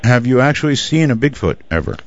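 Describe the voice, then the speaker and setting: A man's voice: mid-range voice, very animated, quick pace. A man hosting a radio interview, asking loosely phrased questions. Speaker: radio show host. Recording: dull lo-fi audio.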